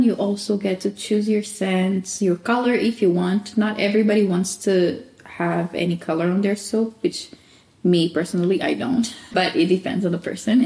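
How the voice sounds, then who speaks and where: Chatty woman from Angola, mellow voice. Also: mid-range voice, reverberant. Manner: warm laughing, chatty with self-quoting. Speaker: candle and soap shop owner. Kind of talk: casual interview answers.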